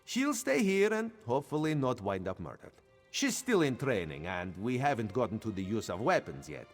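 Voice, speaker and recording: A sly cat-folk thief who always talks about himself in the third person, voiced by a man. His pitch swoops widely from line to line. Faint music plays under the game audio. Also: sing-song, moderate pace. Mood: scheming.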